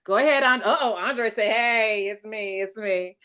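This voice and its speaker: A woman moderating a panel, brisk and quick to hand over, often saying "indeed". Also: mid-range voice, sharp tone, smooth.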